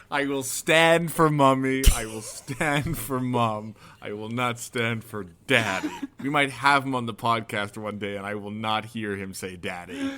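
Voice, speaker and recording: A man's voice: medium pitch, steady tone, measured pace, bright, forceful tone, slight background noise. A man in his twenties with a Canadian accent chatting casually as a podcast co-host, joking with mock emphasis. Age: 20s. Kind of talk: casual chat.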